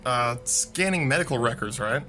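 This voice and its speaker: Bright-voiced, easygoing theater techie. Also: male voice, mid-range voice, animated, normal speed, soft background music. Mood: easygoing, friendly. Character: easygoing, helpful young male theater technician. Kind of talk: naturalistic radio drama dialogue.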